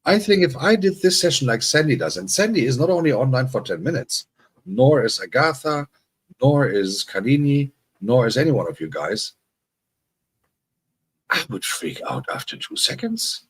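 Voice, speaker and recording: Husky man rambling on a livestream. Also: mid-range voice, big pitch swings, normal speed. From Germany. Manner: rambling, restarts sentences, self-deprecating. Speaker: livestream host. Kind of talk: casual livestream chat.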